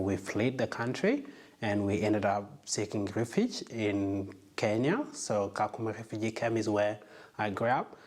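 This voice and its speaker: Earnest low-voiced young man. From South Sudan. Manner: earnest, reflective storytelling. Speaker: medical student, interviewee. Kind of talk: casual interview.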